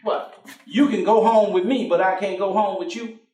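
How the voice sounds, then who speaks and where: An actor in a staged play reading, playing a warm, stubborn, devout working-class man with a folksy, teasing manner. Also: high-pitched, steady tone, close to an everyday voice. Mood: teasing, insistent. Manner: folksy, teasing, with chuckles and drawn-out phrases. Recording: roomy echoing stage, distant microphone.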